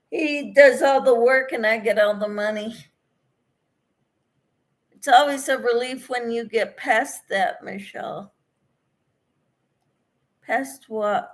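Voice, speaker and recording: A woman's voice: a little high, slow pace, long pauses. A middle-aged woman casually chatting with viewers on a livestream, reading their comments aloud and laughing often. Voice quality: speaks in a monotone, as her husband says.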